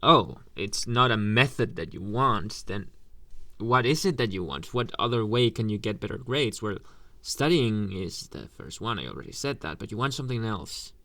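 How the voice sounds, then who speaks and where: A man playing a flirtatious male anime teacher in an ASMR roleplay, coy and teasing, murmuring to the listener with hesitant pauses and pet names. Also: on the low side, animated, bright, forceful tone, no echo. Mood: intrigued, coy.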